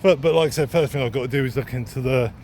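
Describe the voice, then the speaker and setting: Man, medium pitch, casual vlog, rambling, thinking aloud, recorded walking on a street, noticeable vehicle noise. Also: rapid-fire, a little mellow. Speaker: second-hand bookseller and vlogger. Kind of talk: casual unscripted vlog musing. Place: outdoors, walking along a town street. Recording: outdoor street noise with passing traffic. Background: street ambience and vehicles.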